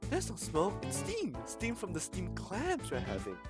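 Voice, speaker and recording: A man's voice: high-pitched, huge pitch swings, measured pace, loud music behind the voice, voice pushed up, heavily acted. A man voicing a flustered female school principal in a visual novel, a nervous bad liar who stammers out hasty excuses.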